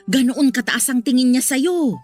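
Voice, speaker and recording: A woman with a Filipino accent playing a patient, gently firm middle-aged mother in a radio drama. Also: sits high, expressive, moderate pace, faint music behind. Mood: soothing. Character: patient, protective middle-aged mother soothing her daughter.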